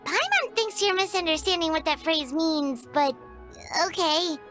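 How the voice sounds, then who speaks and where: A woman's voice: very high-pitched, expressive, measured pace, fairly bright tone, full character voice. A woman voicing a tiny, chirpy, cheeky childlike fairy companion in a video game. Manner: chirpy, childlike, talks of self in third person. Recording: studio voice over game music.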